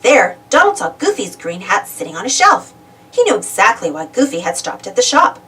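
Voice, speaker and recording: A woman reading a children's storybook aloud in an expressive, dramatic storytelling manner. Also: mid-range voice, normal speed, far from the mic. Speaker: storybook reader. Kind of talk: animated children's read-aloud narration.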